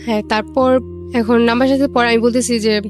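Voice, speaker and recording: A young Bangladeshi woman tells a personal story in a slightly high voice. She talks quickly and colloquially, relaying remembered dialogue line by line. Faint music sits behind her. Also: steady tone, darkish tone. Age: young adult. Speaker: guest telling own life story.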